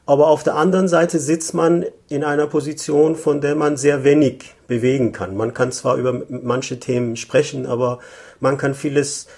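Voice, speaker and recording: A man in his fifties from Iran, a native Persian speaker, answering interview questions thoughtfully with frequent pauses and restarts. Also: medium pitch, steady tone, darkish tone. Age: 50s. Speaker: interview guest, economic consultant.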